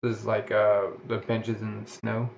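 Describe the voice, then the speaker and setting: A man's voice: fairly low pitch, monotone, moderate pace, vocal fry, a little hiss or hum. A man casually reading a manga aloud, often stumbling and restarting words. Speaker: reader. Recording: dull lo-fi home recording.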